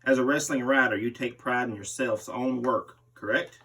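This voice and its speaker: A man reads a magazine interview aloud, taking the part of the person being interviewed. His voice is of middling pitch, and he sits a little way off from the microphone. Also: steady tone, normal speed, pushed and bright, slightly rough. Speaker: host reading aloud. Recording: distant microphone.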